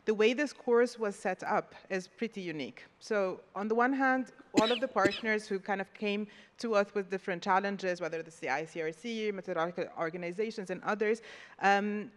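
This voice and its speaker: A woman lecturer on a conference panel, laying out examples in an organized way. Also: medium pitch. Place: conference hall. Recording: long reverb from a large hall.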